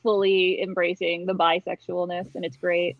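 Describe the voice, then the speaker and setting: A woman's voice: fairly low pitch, level tone, normal speed, phone-quality sound. A woman chatting casually as a guest in a group game. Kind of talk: casual chat about books.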